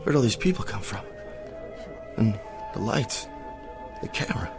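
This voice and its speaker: Flustered cowboy star, vain yet cowed, animated pitch, music behind, TV drama. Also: male voice, moderate pace, creaky. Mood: bewildered, alarmed. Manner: flustered, deferential. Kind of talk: television drama comedy acting.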